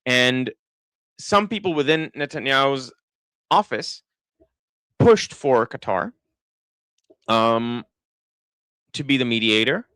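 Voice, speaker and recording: Israeli man with an American-sounding accent, dry and bright. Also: medium pitch, lively intonation, slow pace, pauses often, clear, resonant tone, no echo, low-quality recording. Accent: American-sounding English. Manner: thinking aloud, many restarts and fillers. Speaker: political commentator. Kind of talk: live-stream commentary reading chat.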